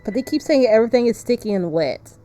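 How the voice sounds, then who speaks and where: A woman's voice: a little high, expressive, quick pace, dark tone. A woman streaming a visual novel, chatting casually and reading the story text aloud.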